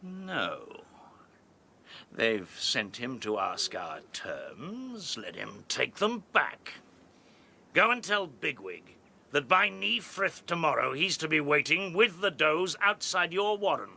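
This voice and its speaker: Menacing, gravelly rabbit general. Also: male voice, fairly high pitch, big pitch swings, slow pace, bright, forceful tone, full character voice. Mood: threatening contempt. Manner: commanding, contemptuous. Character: ruthless, menacing rabbit general, male, battle-hardened. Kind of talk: radio drama acting.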